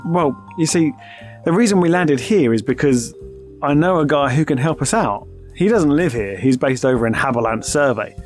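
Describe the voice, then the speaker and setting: An easygoing, shrewd space adventurer played by a man talks in a wry, conversational manner. The voice is on the higher side for a man, over soft music in an audio drama. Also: expressive, moderate pace, close to an everyday voice. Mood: explaining.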